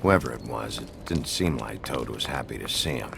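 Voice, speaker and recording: An actor playing a gruff, weary detective sheriff in a video game, talking tersely in a deep gravelly voice. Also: male voice, expressive, normal speed, background noise, heavily acted. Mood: guarded, weary. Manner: terse, low-key. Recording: loud music under the voice. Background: loud music.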